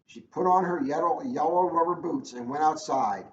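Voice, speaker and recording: A man with a fairly high voice reads to children in an informal storybook style. The sound is lo-fi and dull, with faint noise behind him. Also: normal speed, echoey room. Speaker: police officer reading to children. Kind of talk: storybook read-aloud with casual asides.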